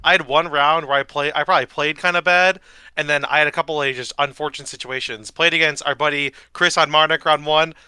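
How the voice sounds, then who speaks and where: A man co-hosting a casual podcast, with a very bright, sharp tone and a smooth, clean sound. His pitch sits a bit high for a man, and he tells his stories in an easygoing way. The sound is dry and close to the mic. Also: steady tone, quick pace, no echo. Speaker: podcast co-host.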